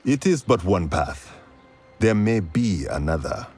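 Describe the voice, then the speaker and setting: A man's voice: deep voice, very animated, unhurried, darkish tone, slightly rough, exaggerated voice. A man acting a bold, stubborn rebel leader in a video game drama, blunt, wry and commanding. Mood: determined.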